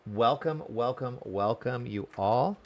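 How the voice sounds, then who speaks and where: A man's voice: medium pitch, slow, a little mellow. A man live-streaming a game, chatting casually and welcomingly with viewers while commenting on the story, often repeating words.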